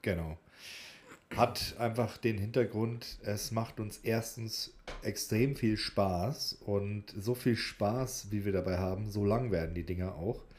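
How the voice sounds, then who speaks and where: A man's voice: lowish voice, a little slow, darkish tone. A man co-hosting a podcast in casual banter, with a jokey, teasing way of talking. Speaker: podcast co-host.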